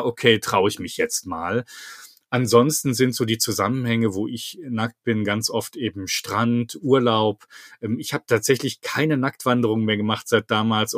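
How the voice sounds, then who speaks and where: A man's voice: mid-range voice, no room sound, studio quality. A man talking conversationally and informally as an interview guest, reflecting on his own experience. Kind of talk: conversational personal account.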